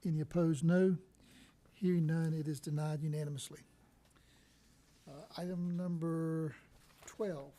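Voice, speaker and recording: A man with a soft, very dark and muted tone and a slightly high pitch runs a public meeting. His carries both formal motions and informal asides. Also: little pitch movement, unhurried, pauses often. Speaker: chair of a county board meeting. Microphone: meeting microphone.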